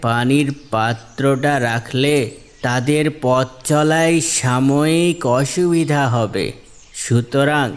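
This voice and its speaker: A man playing a wise, humble elderly judge in a moral cartoon, speaking slowly in a solemn, measured way. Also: mid-range voice, clean tone, natural voice. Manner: slow, solemn, measured delivery. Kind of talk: Islamic moral cartoon dialogue.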